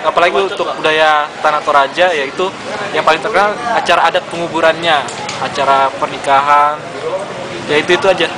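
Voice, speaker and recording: A man's voice: a little high, fairly even intonation, fairly quick, noisy background. A young man from Balikpapan, a student interviewee, talking casually and correcting himself. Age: young adult.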